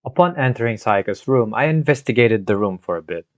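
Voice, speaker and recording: A man's voice: medium pitch, animated, brisk, no echo. A man narrating a scripted video game story recap in a storytelling manner, recorded on a home microphone. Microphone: home microphone, scuffed in parts. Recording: dry, dull lo-fi home microphone.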